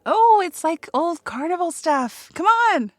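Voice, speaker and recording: Teasing, lively young date. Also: female voice, extremely high pitch, measured pace, no room sound, exaggerated voice. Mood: playful, excited. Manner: teasing, wry, casual. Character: flippant, teasing, playful young woman on a date. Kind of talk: naturalistic romcom audio drama dialogue.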